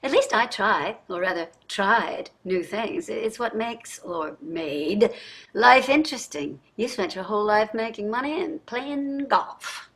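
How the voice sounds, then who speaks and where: A rich older wife in a stage drama. The voice is bright and forceful at an average pitch. She is wry and emphatic, quick to defend herself, restless underneath. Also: expressive, husky, exaggerated voice. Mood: defensive. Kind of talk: staged play reading.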